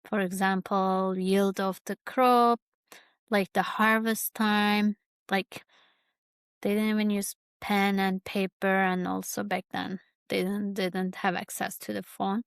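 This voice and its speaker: Halting woman with a creaky, even voice. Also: medium pitch, no echo, studio quality. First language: Kurdish. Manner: halting, searching for words. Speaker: podcast host. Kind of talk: personal reflective monologue.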